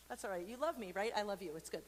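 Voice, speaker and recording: Woman, mid-pitched, playful and encouraging children's storyteller with informal asides; long reverb on the recording. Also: moderate pace, slight background noise. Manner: playful, expressive, with silly sound effects and asides; informal and encouraging, talking straight to viewers. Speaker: storyteller and church program host. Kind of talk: casual read-aloud for kids with chatty asides.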